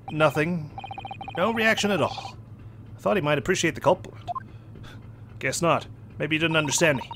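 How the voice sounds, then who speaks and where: Lively English-accented gaming host. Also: male voice, a little high. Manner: playful, joking asides. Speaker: let's play host. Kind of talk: casual game commentary, reading dialogue aloud. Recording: noisy background ambience.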